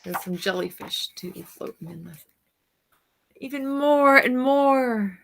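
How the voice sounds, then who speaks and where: A woman's voice: high voice, expressive, slow pace, vocal fry. A woman reading a picture book aloud as a teacher-storyteller, stretching out words and pointing out details to young listeners.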